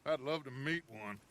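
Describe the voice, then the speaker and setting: A man's voice: mid-range voice, pushed and bright, slight background noise. A man playing a confident middle-aged American outlaw gang leader in a video game, talking in a grandiose, persuasive way. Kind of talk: video game cutscene acting. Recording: outdoor ambience and hoofbeats behind voice.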